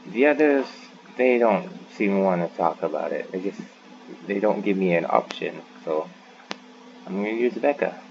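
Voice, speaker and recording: A man giving casual, halting game playthrough commentary, often repeating phrases for emphasis. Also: sits low, creaky, muffled recording, noisy background. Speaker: gaming video host.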